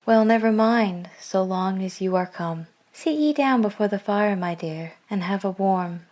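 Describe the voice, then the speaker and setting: A woman playing a warm, fussing working-class mother in a classic radio drama. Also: mid-range voice, unhurried, close to an everyday voice. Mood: fond.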